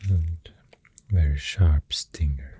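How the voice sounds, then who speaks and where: A soft-spoken ASMR artist with a Swedish accent, rambling in a hushed, halting, breathy half-whisper while drawing. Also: a bass voice. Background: pencil writing and drawing sounds.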